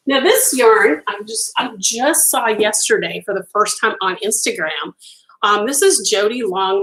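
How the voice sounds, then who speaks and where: Middle-aged woman, Italian first language, eager live-stream host, mid-pitched voice, warmly gushing. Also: rough recording. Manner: chatty and enthusiastic, gushing over colors, many ums. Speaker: crochet designer hosting a live stream. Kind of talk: casual live-stream yarn unboxing chat.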